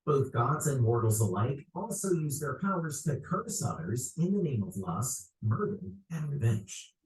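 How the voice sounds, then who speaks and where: A man's voice: mid-range voice, big pitch swings, measured pace. A man narrating a documentary clip in a dramatic reading style. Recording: very echoey, distant documentary clip.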